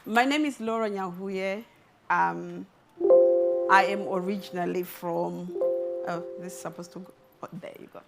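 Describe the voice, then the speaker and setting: A middle-aged woman from Zimbabwe, an artist giving a talk with a spoken-word poem, delivered in a slow, rhythmic, measured way. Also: on the low side, steady tone, vocal fry, loud music behind the voice. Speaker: artist and speaker.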